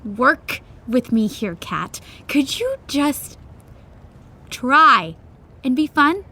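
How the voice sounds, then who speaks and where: An upbeat woman friend, with a high voice, coaxing and pleading expressively. It sounds like naturalistic audio drama dialogue. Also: very animated, extremely slow, bright, forceful tone, a little hiss or hum, full character voice.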